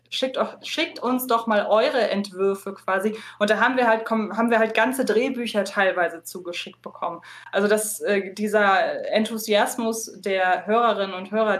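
A woman in a casual podcast conversation, talking in a wordy, explanatory way. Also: mid-range voice. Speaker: podcast co-host appearing as guest.

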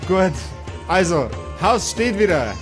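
A male game master with a fairly high voice narrates a tabletop role-playing session. He explains rules and scenes over faint music. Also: big pitch swings, slow pace.

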